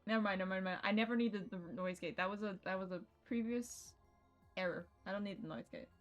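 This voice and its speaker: A woman's voice: medium pitch, fast, faint music behind. A woman of twenty-one streaming a visual novel, chatting casually with her viewers and laughing often, with some swearing. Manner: giggly, playful, swears, chats with viewers.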